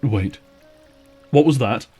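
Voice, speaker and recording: Audio drama monster: male actor as an undead man-eater, courtly menace that can crack into dread, medium pitch. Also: slow, warm tone, slightly rough, soft background music. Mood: shaken disbelief. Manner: formal, theatrical menace giving way to dread. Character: menacing undead male predator who feeds on humans, easily spooked.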